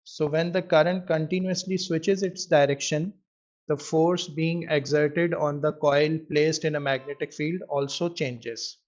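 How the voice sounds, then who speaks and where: A man tutoring in physics, explaining an exam question in a measured way with pauses as he writes. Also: on the high side, steady tone, darkish tone. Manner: measured, pausing while writing out answers. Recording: dull lo-fi microphone sound.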